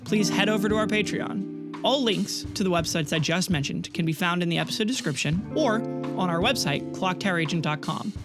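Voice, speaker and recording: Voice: mid-range voice, fairly quick. A game master telling a story casually and informally, with playful little touches. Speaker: game master and podcast host. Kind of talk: narrating a tabletop game, casual and improvised. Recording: prominent music behind the voice. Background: music.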